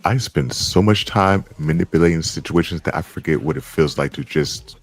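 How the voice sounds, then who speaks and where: Reflective, sincere teen schemer male reader, script drama, deep with vocal fry. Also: moderate pace, soft background music.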